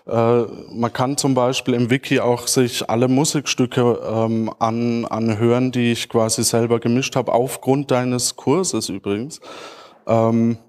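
A man's voice: medium pitch, flat delivery, a little slow, a little mellow. Man in his thirties, informal conference speaker. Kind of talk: informal talk answering audience questions. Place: large auditorium stage. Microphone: handheld microphone.

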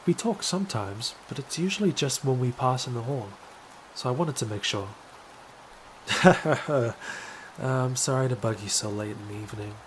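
A man's voice: medium pitch, a little hiss or hum, lightly acted. A young man playing a shy, awkward, apologetic neighbor in an ASMR roleplay, speaking hesitantly. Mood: nervous, pleading.

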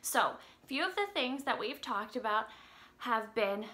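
A woman's voice: a little high, expressive, unhurried, pushed and bright. A young woman teaching small children and reading a picture book aloud, expressively, with simple questions and exaggerated emphasis. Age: young adult. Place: home room with an upright piano.

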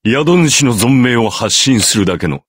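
An actor playing a coolly composed priest in an anime visual novel, explaining grim matters in a measured, formal, detached manner. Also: male voice, mid-range voice, animated, husky, no echo, studio quality. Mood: detached, grave. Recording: loud music under the voice.

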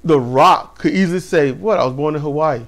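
A man co-hosting a casual wrestling talk show, animated and laughing often, speaking to viewers as y'all. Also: fairly high pitch, a little fast. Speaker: podcast co-host. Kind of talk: casual chat about wrestling.

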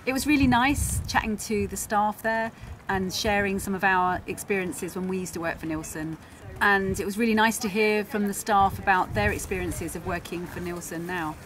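A woman in her forties chats to camera as a travel vlogger. Her voice sits at a middling pitch. She was recorded outdoors by the water, with clear ambient noise around her. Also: moderate pace, a bit bright. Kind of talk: casual vlog narration to camera. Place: outdoors on a harbour quayside.

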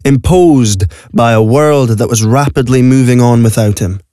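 A man's voice: medium pitch, moderate pace, dark tone, no echo. Male narrator, dry studio sound. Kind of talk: scripted audio drama narration. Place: recording studio. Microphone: close studio microphone.

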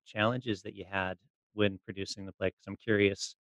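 A man's voice: lowish voice, flat delivery, moderate pace, a bit bright, dry recording. A man hosting a podcast, interviewing in a casual, conversational way. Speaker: podcast host.

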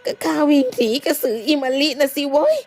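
A woman doing a gruff, panicky village headman. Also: sits high, sing-song, fairly quick, a little mellow, pitched above the performer's own voice, full character voice. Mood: gruff annoyed. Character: coarse, hot-tempered male village headman, middle-aged.

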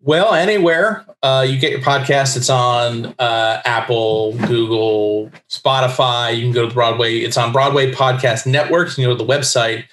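A man's voice: mid-range voice, normal speed, few pauses, fairly bright tone. A middle-aged man chatting casually as a guest in an interview. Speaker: guest writer. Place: book-lined room at home, indoors. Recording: roomy, echoey video call.

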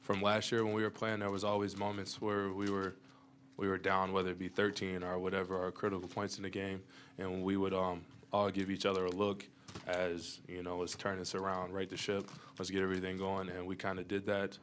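A man's voice: sits low, monotone, brisk, fairly bright tone, slight background noise. A young man, a college basketball player, answering questions at a postgame press conference with a confident, playful manner, speaking into a table mic. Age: young adult. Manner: confident, playful, talks in long runs. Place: press conference room with sponsor backdrop. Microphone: table mic in front.

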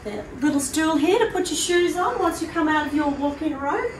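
Aussie woman showing round an apartment, chatty, higher voice, echoey and a bit far from the mic. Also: animated, moderate pace. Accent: Australian. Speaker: presenter of a prize home tour. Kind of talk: casual walk-through tour narration. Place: walking through hard-surfaced apartment rooms. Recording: roomy echo, distant mic, background noise.

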